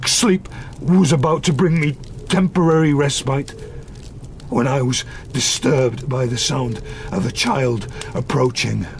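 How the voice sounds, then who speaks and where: A lonely, embittered creature of gothic radio drama. His speech is slow and creaky, heavy with anguish and vengeance. Faint noise sits behind the voice. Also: mid-range voice. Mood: haunted recollection. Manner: slow, grave, anguished storytelling. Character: wretched, vengeful male man-made monster, lonely and bitter. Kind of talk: gothic audio drama acting.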